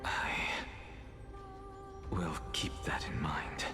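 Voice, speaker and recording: A man playing an arrogant, defiant scholar in a video game, speaking in a deliberate, theatrical and pompous way. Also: music in the background. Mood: solemn, resolute. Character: arrogant, paranoid male scholar, honest, theatrical, defiant loner. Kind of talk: dramatic video game voice acting.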